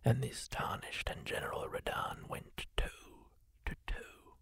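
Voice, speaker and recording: A man voicing a kindly masked healer-warrior, telling tales in a slow, breathy ASMR whisper close to the mic. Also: fairly bright tone, no echo. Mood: hushed. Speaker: ASMR roleplayer. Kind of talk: whispered ASMR roleplay monologue.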